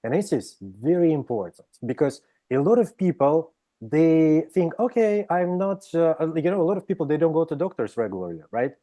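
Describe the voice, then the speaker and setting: A man from Russia with a Russian accent, speaking as a psychologist guest in an interview, explaining with many pauses, restarts and "right?" checks. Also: a little high, very animated, warm tone. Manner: hesitant, frequent "right?" tags and restarts. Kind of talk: interview conversation.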